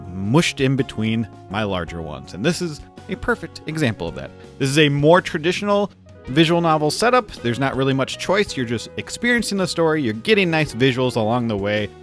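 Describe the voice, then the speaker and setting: A man hosting a game review video in an informal, chatty, lightly joking way. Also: mid-range voice. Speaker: video host reviewing games. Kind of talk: casual scripted video commentary. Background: background music.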